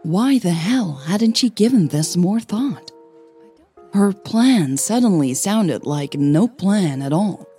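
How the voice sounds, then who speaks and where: Low, slow, mellow woman narrator. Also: slightly rough. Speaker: podcast host and narrator. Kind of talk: scripted audio fiction narration.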